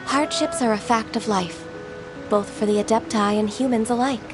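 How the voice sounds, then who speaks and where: A woman voicing a gentle, dutiful young half-immortal archer in an anime video game, earnest, polite and measured. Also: on the high side, fairly even intonation, close to an everyday voice. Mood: calm, reflective. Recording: music playing behind the voice.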